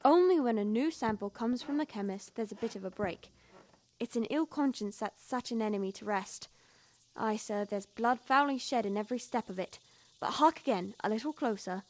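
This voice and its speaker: A woman's voice: on the high side, normal speed, close to an everyday voice. A young woman playing a loyal, frightened longtime household servant in a Victorian audio drama, earnest and deferential, telling things with emphasis. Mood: haunted, low. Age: young adult.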